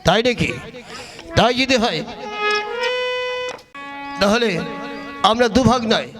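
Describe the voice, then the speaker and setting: A man's voice: extremely high pitch, animated, slow pace, over music, exaggerated voice. A man voicing crude, cocky, superstitious bandits in a comic puppet play. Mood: boastful.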